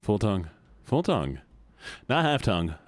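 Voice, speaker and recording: Goofy deep-voiced man streaming. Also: very animated, moderate pace, no room sound. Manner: goofy, playful, laughs often. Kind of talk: casual livestream chat.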